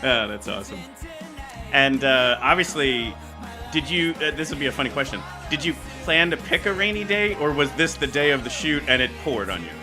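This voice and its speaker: A man's voice: medium pitch, expressive, brisk, music in the background. A man hosting a podcast, chatting casually as he interviews a guest. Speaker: podcast host.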